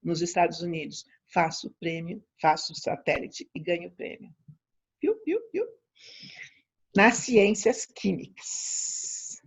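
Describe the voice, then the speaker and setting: A Brazilian woman giving an informal public lecture as a scientist, in an emphatic, theatrical and ironic manner, with a husky, creaky voice. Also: on the low side, unhurried. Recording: dull, lo-fi audio.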